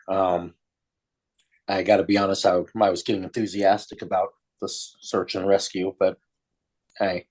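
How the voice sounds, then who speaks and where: Man as desert searcher, low-pitched, husky, folksy, wry, easygoing, tabletop roleplay. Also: darkish tone.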